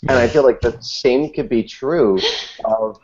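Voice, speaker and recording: A man's voice: medium pitch, unhurried, darkish tone, lo-fi sound, a little hiss or hum. A man talking animatedly and self-deprecatingly as a guest in a casual panel discussion. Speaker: podcast guest.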